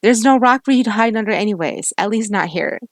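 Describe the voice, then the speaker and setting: A woman's voice: medium pitch, lively intonation, a little fast, no echo, voice pushed up, natural voice. A woman voicing a friendly, teasing young American man who owns a cafe.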